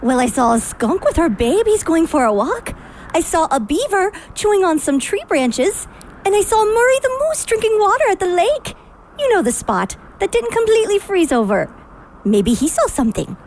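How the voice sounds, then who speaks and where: Woman as a very high-pitched, chatty deer. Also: very animated, moderate pace, darkish tone, slight background noise. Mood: chatty, helpful. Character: friendly, skittish chatty deer. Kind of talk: family radio drama acting.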